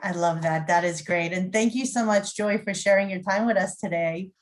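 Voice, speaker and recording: A woman speaks as an interview host in an upbeat, conversational style. Her voice sits at a medium pitch. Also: moderate pace.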